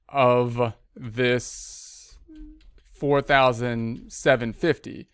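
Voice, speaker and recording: A man's voice: medium pitch, fairly bright tone, smooth, no echo. A man narrating a screen-recorded spreadsheet tutorial as an accounting instructor, informally thinking aloud step by step into a close mic.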